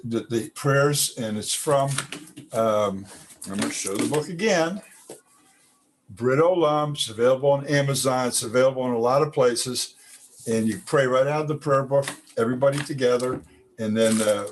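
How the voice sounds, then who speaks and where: Man, host, folksy, rambling, mid-pitched voice, video call. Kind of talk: casual community chat.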